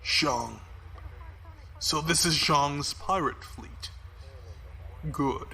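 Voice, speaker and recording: An actor playing a cold, calculating fleet commodore in a science fiction audio drama, measured, formal and authoritative. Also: male voice, on the high side, vocal fry, pushed and bright, husky, full character voice. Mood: cold, assured.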